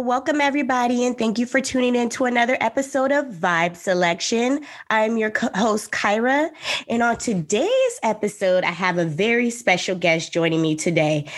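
A woman co-hosting a podcast, opening the show and interviewing a guest in an upbeat, friendly way. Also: medium pitch. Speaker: podcast co-host. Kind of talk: scripted intro then casual interview.

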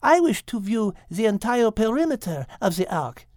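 A man voicing small, gentle storybook animals with an animated, lilting delivery. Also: high-pitched, normal speed, no echo, clean studio sound, voice pushed up. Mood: curious, gentle.

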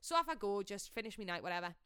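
A young woman chats as a podcast co-host, swearing freely as she tells a story. Her voice is smooth and clear, recorded dry, and her delivery is animated and blunt. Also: mid-range voice, rapid-fire, no room sound. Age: young adult. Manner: animated, blunt, salty-tongued. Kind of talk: casual storytelling chat.

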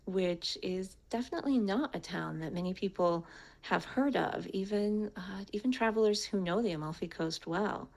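A woman's voice: mid-range voice, vocal fry, a little mellow. An American woman telling her personal story as a speaker, in a prepared but conversational way. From United States.

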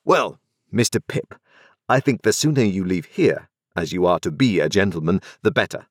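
A man's voice: deep voice, big pitch swings, moderate pace, dry recording, clean studio sound. A man doing a stern curt lawyer. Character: stern, clipped city lawyer, man, middle-aged.

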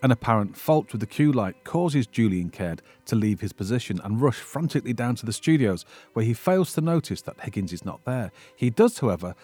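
A man reading a scripted narration that recaps an old mystery film, with a husky voice. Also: mid-range voice, big pitch swings, a little fast, hardly any pauses, darkish tone, soft background music. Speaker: narrator presenting a film summary.

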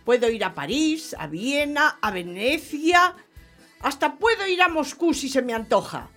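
An imperious lady of a radio play, doting and affected. Her voice is high and swoops expressively, with haughty, theatrical gushing. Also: very animated, measured pace, a bit bright, faint music behind, exaggerated voice. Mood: indignant, fretful.

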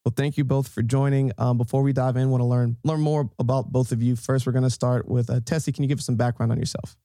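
A man hosts an interview in an upbeat, chatty way, asking long questions that ramble. His delivery is flat and even. His tone is mellow, and the recording is dry and close. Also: medium pitch, quick pace, dry recording. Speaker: interview host. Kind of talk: casual interview hosting.

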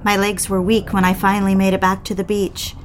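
An actress playing an adoring, playful newlywed wife in a romantic audio drama, with tender, coy, rather formal delivery. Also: female voice, medium pitch, completely flat delivery, a little fast, slight background noise, close to an everyday voice. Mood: wistful narration. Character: adoring, teasing young newlywed wife on honeymoon. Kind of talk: romantic audio drama dialogue and first-person narration. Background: music.

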